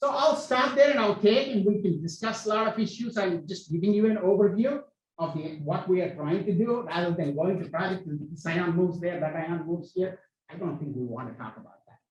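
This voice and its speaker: A man's voice: sits high, lively intonation, highs cut off. Man presenting in an echoey hall. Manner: speaks in halting, repeated phrases. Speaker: panel moderator and researcher. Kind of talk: academic panel presentation. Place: university hall. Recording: echoey hall, distant mic.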